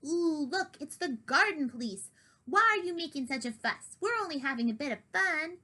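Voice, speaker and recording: A woman voicing a smug, plump male cat who taunts lazily with quiet menace. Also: high-pitched, animated, normal speed, voice pushed up. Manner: sneering, lazy taunting.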